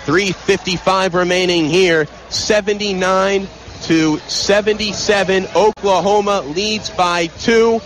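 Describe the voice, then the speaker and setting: A man's voice: sits high, steady tone, low-quality recording. A man calling live basketball play-by-play on the radio in vivid, clipped phrases, from an indoor arena. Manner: vivid, clipped, rapid-fire calls. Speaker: play-by-play sportscaster. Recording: loud crowd noise behind, live arena broadcast. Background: loud crowd.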